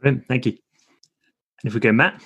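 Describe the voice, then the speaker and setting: A man's voice: mid-range voice, fairly even intonation, measured pace, gravelly, a bit bright, husky, muffled recording. A man hosting a podcast, giving a scripted introduction and politely handing the floor to his guests. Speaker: podcast host.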